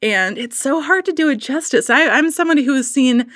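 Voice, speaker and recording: A woman's voice: high voice, expressive, fairly quick, fairly bright tone, no room sound, clean studio sound. Effusive woman telling stories on a podcast. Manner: effusive, theatrical, digressive. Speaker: podcast guest. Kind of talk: casual chat, animated storytelling.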